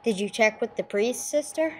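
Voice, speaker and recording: A woman's voice: fairly high pitch, expressive, normal speed, natural voice. A woman playing a no-nonsense police sergeant who narrates a case in a radio play, clipped and matter-of-fact. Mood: businesslike, probing. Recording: clear background noise, room ambience.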